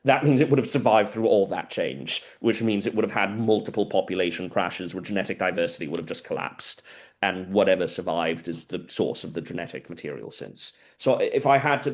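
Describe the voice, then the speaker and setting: Brief: male guest lecturer, Spanish first language, lowish voice, informal and explanatory. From South America. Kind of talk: answering audience questions at a seminar.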